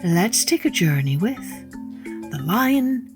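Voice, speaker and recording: Woman, storyteller, slow deliberate pacing, dramatic children's tale narration, medium pitch. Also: very animated, music in the background. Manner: dramatic, savoring words with deliberate pauses. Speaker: storyteller, podcast host.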